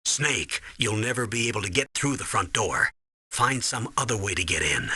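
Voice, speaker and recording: Stern, hard-edged military commander. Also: male voice, fairly low pitch, expressive, normal speed, sharp tone, breathy edge, no room sound, heavily acted. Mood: instructive, watchful. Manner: authoritative, urgent briefing. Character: stern older male military commander guiding a soldier. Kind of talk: video game voice acting, tutorial instructions.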